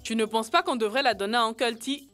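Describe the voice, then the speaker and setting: A woman voicing a friendly, eager child in a French-dubbed educational cartoon, coaxing and expressive. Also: fairly high pitch, fast talker, faint music behind.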